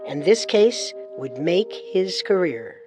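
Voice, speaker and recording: A woman's voice: lowish voice, big pitch swings, slow, music in the background. A woman co-hosting a podcast, reading scripted true-crime narration with dramatic storytelling and wry touches.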